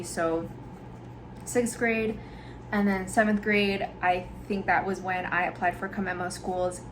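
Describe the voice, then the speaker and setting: Young woman from Hawaii, podcast host, casual and candid, rambling with fillers, faint noise behind. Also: mid-range voice. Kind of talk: casual personal storytelling.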